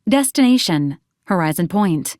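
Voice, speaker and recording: A woman voicing an officious, literal-minded robot companion in a video game, speaking in clipped, formal, deadpan announcements. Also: lowish voice, a little slow, no echo, clean studio sound. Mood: clinical, officious. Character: female-voiced hovering robot companion, clinical, officious, dryly literal. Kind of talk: scripted video game character lines.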